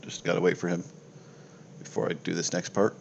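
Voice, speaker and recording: A man's voice: low-pitched, flat delivery, brisk, gravelly, darkish tone, muffled recording. A man acting as game master on a voice call, narrating a tabletop game in casual talk peppered with swearing. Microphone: online voice call. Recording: noisy home voice-chat recording.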